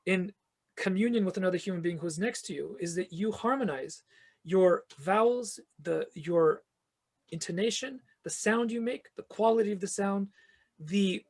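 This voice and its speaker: A man's voice: sits high, moderate pace. A man being interviewed as a guest, answering in long, earnest explanations that build with restarts. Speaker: guest, fantasy author and choir conductor. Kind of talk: reflective podcast interview answers.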